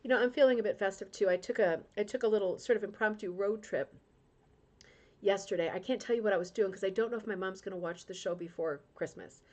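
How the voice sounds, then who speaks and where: A woman's voice: medium pitch, quick pace, darkish tone. A middle-aged woman from Rhode Island, hosting a crafting club livestream in casual, emotional chat and reading out viewer comments, with frequent tag questions. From Rhode Island, United States.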